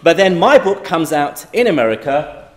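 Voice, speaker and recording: A man's voice: on the high side, big pitch swings, normal speed, noisy background. A middle-aged man from Iraq giving a conversational public lecture, with frequent fillers and restarts. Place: lecture hall. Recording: echoey lecture hall.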